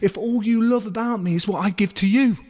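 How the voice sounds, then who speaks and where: Audio drama boyfriend, played by an actor: male, high-pitched, insecure, argumentative, reproachful, in long reverb. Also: brisk. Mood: bitter, accusing. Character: insecure, accusing boyfriend demanding unconditional love. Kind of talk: naturalistic audio drama dialogue.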